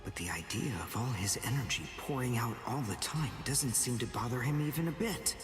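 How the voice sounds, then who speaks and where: A man's voice: mid-range voice, expressive, fairly quick, natural voice. Intense, brainy teen archer played by a man, echoey. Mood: baffled. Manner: analytical, dramatic. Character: brainy, intense teenage male spirit archer. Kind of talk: anime game dub acting, analytical inner monologue. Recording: echoey, with game music behind. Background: game music.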